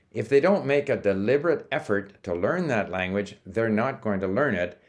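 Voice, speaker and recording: Older man with a low voice, explaining calmly. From Sweden, raised in Canada. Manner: relaxed and explanatory, with small hesitations and restarts. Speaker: language-learning video host. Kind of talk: casual talking-to-camera monologue.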